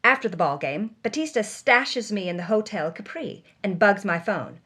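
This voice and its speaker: A woman's voice: medium pitch, expressive, moderate pace, fairly bright tone, breathy edge. A woman voicing a witty, adventurous actress who reads her own journal in a theatrical, wry, breathless way. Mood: brisk, conspiratorial. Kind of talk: dramatic journal narration.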